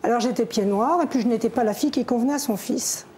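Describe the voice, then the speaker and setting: A middle-aged woman from Algeria talks as a guest on a TV show, speaking candidly and at a measured pace. Her voice is a little high but warm and mellow. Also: a little hiss or hum. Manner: candid, measured telling with pauses. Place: television studio.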